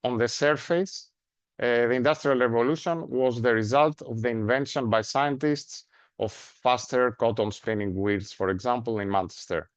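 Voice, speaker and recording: A man with a Greek accent delivers a seminar lecture in a measured, explanatory way, with frequent "uh" fillers and tag questions. His voice sits at a middle pitch for a man. The recording is dry and somewhat dull, with little room sound. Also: no echo.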